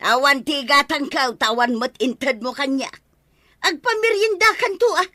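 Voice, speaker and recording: Shrill, high, nagging village wife. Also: female voice, expressive, moderate pace, pushed and bright, dry recording, studio quality. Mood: scolding. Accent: Ilocano Filipino. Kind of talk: Ilocano radio comedy drama acting.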